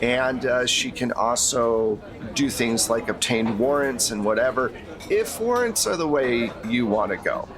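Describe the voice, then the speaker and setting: A man playing a middle-aged female agency handler in a tabletop game, courteous, protective and measured in a briefing manner. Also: mid-range voice, animated, music in the background, natural voice, poor audio. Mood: businesslike, reassuring. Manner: measured, professional briefing talk.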